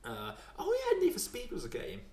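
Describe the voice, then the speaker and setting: A man's voice: high-pitched, wildly swooping pitch, a little fast, darkish tone, roomy sound. A man in his twenties chatting casually as a podcast host, informal and often chuckling as he talks. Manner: chuckles often while talking, informal swearing. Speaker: podcast host and film reviewer.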